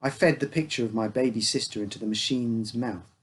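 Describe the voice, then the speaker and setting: A man's voice: mid-range voice, steady tone, fairly quick, husky. A man reading a scripted uncanny story as its storyteller. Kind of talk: scripted narration of an uncanny tale.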